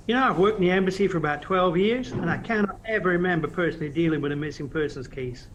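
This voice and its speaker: A man's voice: sits high, fast, a bit bright, a little hiss or hum, rough recording. A man acting in an audio play as an earnest, reflective embassy official with a conversational manner. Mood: genial, modest.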